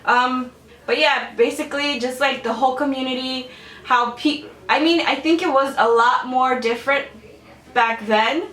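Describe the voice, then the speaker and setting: A woman's voice: high-pitched, normal speed, fairly bright tone. Giggly, chatty young woman, roomy sound. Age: 20s. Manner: chatty, rambling with many "like"s, giggly. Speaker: beauty vlogger. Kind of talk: casual Q&A chat to camera. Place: bedroom filming space. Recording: roomy, from a distance.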